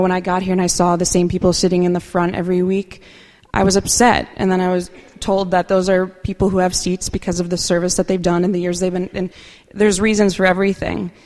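A woman speaking softly and unhurriedly at a recovery meeting, sharing her own experience with many fillers. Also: lowish voice, level tone, a little fast, in a large hall. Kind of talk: personal share at a meeting.

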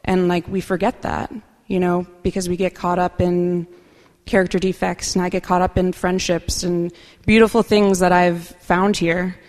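A woman speaking softly and unhurriedly at a recovery meeting, sharing her own experience with many fillers. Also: on the low side, flat delivery, moderate pace, big-room sound. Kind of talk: personal share at a meeting.

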